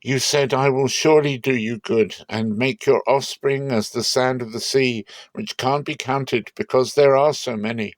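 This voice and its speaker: Man as frightened humble patriarch, scripture drama, earnest pleading, raised pitch, dry recording. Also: fairly even intonation, moderate pace, hardly any pauses, no echo, lightly acted. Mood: pleading, fearful.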